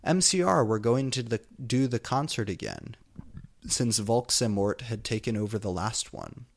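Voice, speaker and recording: A man's voice: fairly low pitch, normal speed, gravelly. A man reading fan fiction aloud for comedy, with a theatrical, exaggerated delivery.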